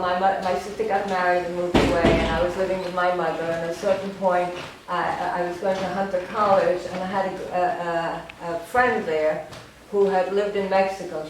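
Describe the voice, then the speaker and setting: A woman's voice: on the low side, fairly even intonation. Older woman rambling in an echoey gallery. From Romania. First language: German. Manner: rambling, halting, with many fillers and wry self-deprecating laughs. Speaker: artist giving a gallery talk. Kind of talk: informal autobiographical talk. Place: art gallery room. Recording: echoey gallery, distant, noisy ambience.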